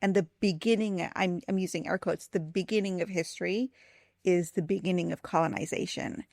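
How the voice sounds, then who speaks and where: A woman's voice: on the low side, fairly even intonation, a little fast, creaky, a little mellow, no echo, studio quality. A woman hosting a podcast and interviewing a guest in a conversational style.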